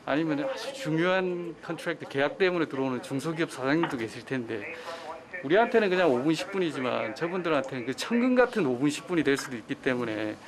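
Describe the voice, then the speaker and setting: A man's voice: a little high, expressive, quick pace, crowd noise. A man speaking informally as a senior air traffic controller explaining the job.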